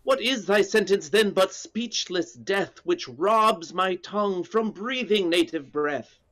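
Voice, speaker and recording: An older duke heartbroken at being banished, slightly high voice, webcam. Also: male voice, a bit bright. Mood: sorrowful. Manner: sorrowful, measured verse delivery. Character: aging male duke, grieving in exile. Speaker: actor. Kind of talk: staged Shakespeare reading. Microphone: webcam on a video call.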